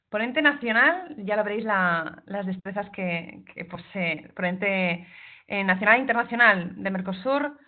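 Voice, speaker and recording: A woman hosting an online event, introducing the guest speaker and making brisk announcements. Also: medium pitch. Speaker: event host. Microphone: video call. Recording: tinny phone-like online call audio.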